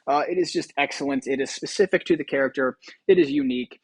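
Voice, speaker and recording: A man's voice: on the high side, sing-song, quick pace, gravelly, slightly rough, dry recording. A man hosting a gaming video with casual commentary and tongue-in-cheek, mock-grandiose announcements.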